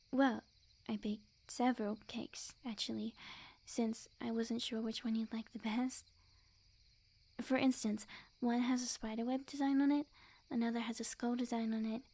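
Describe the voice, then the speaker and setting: A sweet yandere witch acted out by a woman for an ASMR roleplay, young, clingy and lovesick. Her voice sits fairly high but stays mellow and soft, often breathy and close to a whisper. She speaks intimately, with coy, earnest devotion.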